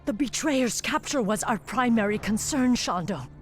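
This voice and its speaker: A woman playing a cold, ruthless elven warden in a fantasy video game, stern and deliberate. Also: a little high, expressive, soft background music, lightly acted.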